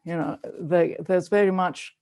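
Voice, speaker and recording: A woman talking casually and thoughtfully on a video call, explaining her choices in short, halting phrases. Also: fairly low pitch, steady tone, darkish tone. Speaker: poetry reader.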